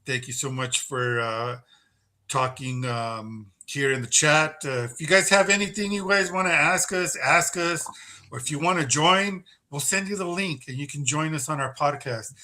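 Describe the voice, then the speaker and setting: Casual fight-podcast co-host, man, fairly high voice, easygoing and loose. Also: normal speed. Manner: loud, laid-back, laughs often, says bro.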